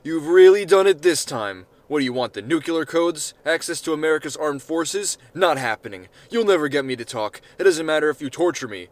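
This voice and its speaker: A man's voice: fairly high pitch, natural voice. A man voicing an embattled, indignant American president in a story reading, with emphatic, dramatic declarations. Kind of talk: amateur short-story character reading.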